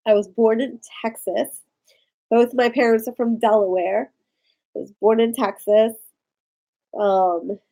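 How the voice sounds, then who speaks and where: Brief: woman with a Maryland accent, chatty vlogger, slow and mellow. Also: medium pitch, rough recording. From Maryland, USA. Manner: rambling, chatty, talks to live viewers. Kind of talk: casual live-stream chat.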